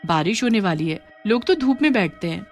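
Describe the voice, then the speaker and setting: A woman's voice: mid-range voice, very animated, a little fast, faint music behind. A woman voicing a curious, puzzled college girl. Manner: wondering, questioning. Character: curious, puzzled eighteen-year-old female newcomer student. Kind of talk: Hindi story dialogue.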